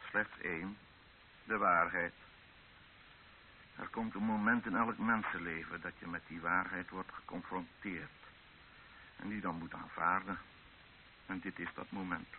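A proud, stern male army captain in a radio drama. He speaks formally and deliberately, with the weight of command. The recording is thin and muffled, with some hiss behind it. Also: deep voice, fairly even intonation. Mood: stern, forceful. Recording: dull narrow-band old radio recording with hiss.

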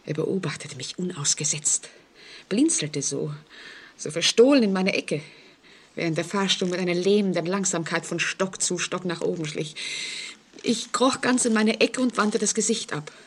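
An actress playing a terrified, hunted single woman in a German radio crime play, speaking in an anguished, halting, dramatic way. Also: on the low side, slight background noise, lightly acted. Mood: haunted, dread. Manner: anguished, dramatic, halting delivery with hushed passages. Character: terrified lone woman, hunted key witness confiding in police.

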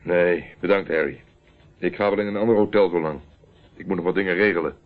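Deep-voiced, guarded male investigator played by an actor. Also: a little fast, natural voice. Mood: self-assured. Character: dogged male private investigator, self-assured, guarded. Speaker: radio play actor. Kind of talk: radio drama acting. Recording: narrow-band, phone-like old radio recording.

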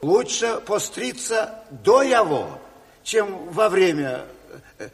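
A man's voice: sits high, big pitch swings, slow, exaggerated voice. An actor playing an eager, naive committee man, insistent and folksy, in a Soviet radio play. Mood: earnest. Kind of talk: 1970s Soviet radio drama acting. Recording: reverberant hall, old radio play recording.

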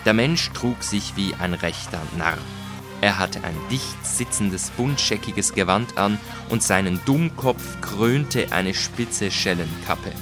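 A man reading a literary first-person story in a measured, deliberate way with dramatic emphasis. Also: fairly low pitch, expressive, music in the background. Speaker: audiobook reader.

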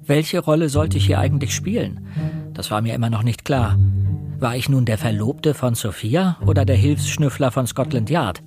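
An actor playing an observant, slightly uncertain young amateur sleuth who narrates a radio drama in a reflective, understated manner. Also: male voice, mid-range voice, normal speed, lightly acted. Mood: pensive. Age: young adult. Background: music.

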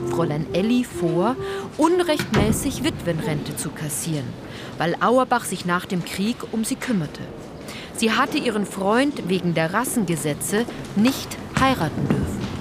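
An actress as a sober, morally pointed narrator in a scripted radio play. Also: female voice, mid-range voice, sing-song, a little slow. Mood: sober, reproachful. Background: prominent music.

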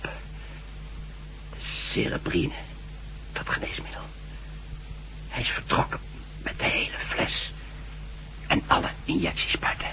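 An actor playing a shaken, dazed doctor in a Dutch radio drama, halting and half-whispering as if weakened. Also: male voice, sits low, pushed and bright, exaggerated voice, poor audio. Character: shaken male doctor, weakened and dazed after mind control.

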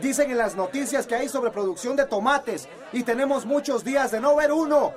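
An actor playing an angry, demanding townsperson at a protest in a radio soap opera. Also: male voice, very high voice, a little fast, some chatter behind. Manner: insistent, demanding.

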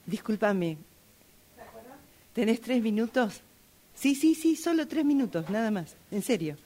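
A woman's voice: a little high, animated, a little slow, mellow. An Argentine woman acting out a dialogue exercise in a radio drama workshop.

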